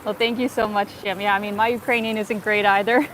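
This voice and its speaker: A woman from North America hosts a casual travel livestream, filmed on a phone as she walks through a city square and chats with her viewers, with fillers and soft laughs. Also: mid-range voice, fairly even intonation, a little fast, a little hiss or hum. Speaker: travel vlogger livestream host. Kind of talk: casual livestream chat while walking. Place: outdoors in a city square and park. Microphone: phone held while walking.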